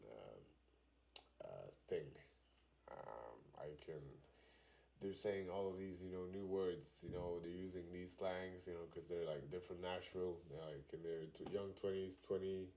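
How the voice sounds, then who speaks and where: Deep, mellow-voiced man with a Swedish accent, casual reaction host, halting with lots of fillers. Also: poor audio. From Sweden. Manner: halting, filler-heavy, frequent "you know".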